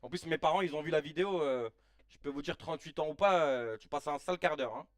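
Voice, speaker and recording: Man, late thirties, stream host, excitable, slangy, high voice, dry room. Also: fast talker, no echo, far from the mic. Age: 30s. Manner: excitable, slangy, shouts at players. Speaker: live-stream watch-along host. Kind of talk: casual live-stream chat and match reactions.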